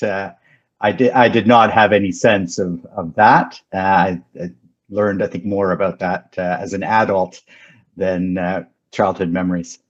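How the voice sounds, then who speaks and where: A man in his fifties whose first language is French, speaking as a guest in a casual interview in a measured way, with frequent ums and restarts. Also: on the low side, highs cut off. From Canada. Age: 50s. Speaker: guest, company founder.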